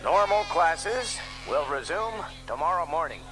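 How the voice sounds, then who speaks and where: An actor playing a stiff, official school principal making a formal, deliberate announcement in an audio drama. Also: medium pitch, expressive, over music, lightly acted, poor audio.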